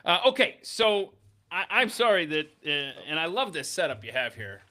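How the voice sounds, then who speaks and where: A man with a slightly high, bright and forceful voice hosts a casual podcast chat. He banters informally and calls people bro, though he is tired. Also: big pitch swings, moderate pace. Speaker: podcast host. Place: podcast studio.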